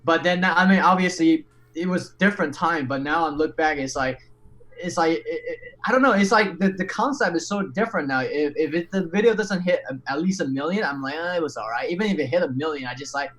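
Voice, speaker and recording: A man, an English speaker, telling his story as a podcast guest in an animated, candid way with frequent restarts and casual swearing. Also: fairly high pitch, fairly quick. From Taiwan.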